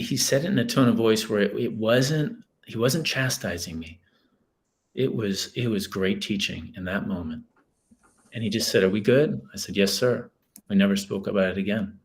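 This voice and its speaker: Man, very low voice, earnest storytelling, reflective guest. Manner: earnest, reflective, many restarts. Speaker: guest, author and school leader. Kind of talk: storytelling in a podcast interview.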